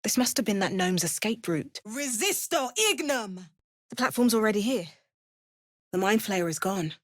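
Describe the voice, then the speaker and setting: A woman's voice: medium pitch, animated, moderate pace, no room sound, lightly acted. Woman acting a wry fantasy game heroine, close and dry. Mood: fierce incanting. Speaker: video game voice actor. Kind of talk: scripted fantasy game dialogue.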